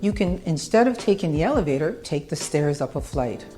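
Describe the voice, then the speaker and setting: A woman with a low, mellow voice presents a scripted fitness segment. Her delivery is upbeat and polished, like a prepared tip. Faint music plays under her. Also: animated, fairly quick.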